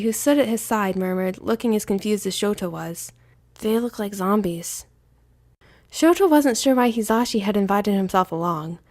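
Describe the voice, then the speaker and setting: A woman reading scripted fiction aloud as the narrator of an audio story. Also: medium pitch.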